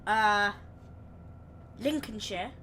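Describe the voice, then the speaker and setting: A woman with a slightly croaky voice, rambling chattily through live gameplay commentary and correcting herself as she goes. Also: medium pitch, drawn-out words, bright, forceful tone, a little hiss or hum. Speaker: game streamer.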